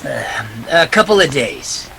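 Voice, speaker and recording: A man's voice: medium pitch, very animated, takes it slowly, gravelly, a bit bright, a little hiss or hum, heavily acted, poor audio. A man playing a tough, wary private detective in a 1940s-style radio play, talking in a terse, slangy, hardboiled way. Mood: wary, shrewd.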